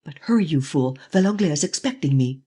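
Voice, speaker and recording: A reader voicing a daring, commanding gentleman thief who speaks urgently and forcefully in an audiobook. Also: medium pitch, lively intonation, fairly quick, breathy edge. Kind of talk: audiobook reading.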